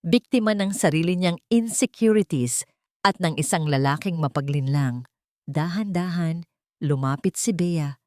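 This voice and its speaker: A woman's voice: lowish voice, a little slow, warm tone, no echo, clean studio sound. A woman storyteller reading scripted narration of a dramatic love story.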